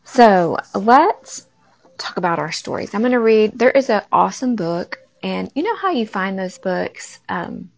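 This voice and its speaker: A woman hosting a faith-based talk, speaking conversationally to her listeners with a raspy early-morning voice. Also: medium pitch, expressive, unhurried, no room sound. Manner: warm conversational, addresses listeners directly. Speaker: podcast host. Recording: dull lo-fi recording.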